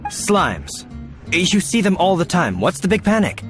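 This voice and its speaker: An actor playing a nervous, earnest knight in a video game, stammering yet formal and polite, with music behind him. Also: male voice, high voice, big pitch swings, measured pace, fairly bright tone, exaggerated voice. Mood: flustered. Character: flustered, well-mannered male knight, nervous and earnest. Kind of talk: video game character acting.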